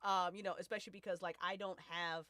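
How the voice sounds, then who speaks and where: A woman around thirty with an American accent and a slightly low, bright, forceful voice. She talks as a podcast host in casual, emphatic, slangy language, recorded close and dry. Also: little pitch movement, fast talker, no echo. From United States. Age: late 20s to early 30s. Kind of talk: casual panel chat.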